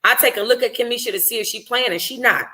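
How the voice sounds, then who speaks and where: Woman doing a book club read-aloud, really bright forward voice, expressive and chatty, slangy. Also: on the high side, fast. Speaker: book club host reading a novel aloud. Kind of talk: informal audiobook-style reading of a first-person novel.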